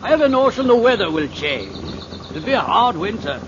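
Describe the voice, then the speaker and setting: Folksy weather-wise farmer, very high voice, old radio drama, noisy dull recording. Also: male voice, sing-song, fairly quick. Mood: foreboding, chatty. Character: weather-wise plain-spoken male farmer. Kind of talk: 1950s radio acting. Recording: noisy, muffled vintage 1950s radio recording.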